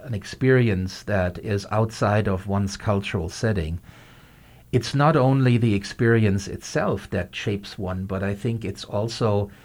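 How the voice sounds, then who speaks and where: A man's voice: fairly low pitch, a little mellow. A man hosting a podcast interview, speaking in a thoughtful way and often searching for his words. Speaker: host.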